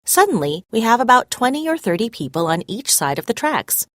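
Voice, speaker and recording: A woman narrating a scripted story as a read-aloud, expressive and clearly phrased. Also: fairly high pitch, brisk, no room sound, clean studio sound.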